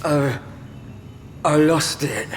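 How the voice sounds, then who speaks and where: A misshapen, servile henchman in an audio drama, childlike and fearful. His high voice comes slowly and haltingly. It rings in a long reverberant hall with music behind. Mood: fearful, apologetic.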